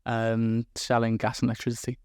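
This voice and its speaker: A man from England with a mild Liverpool accent, talking as a guest about his business in a relaxed, rambling way. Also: medium pitch, fairly quick, smooth, no room sound, studio quality. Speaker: guest, recruitment business owner. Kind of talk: casual interview chat. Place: room in Dubai.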